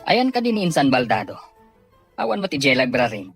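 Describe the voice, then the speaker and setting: A man's voice: medium pitch, very animated, normal speed, fairly bright tone, faint music behind. An actor playing a sneering, domineering male cousin in an Ilocano radio drama, mocking and haughty.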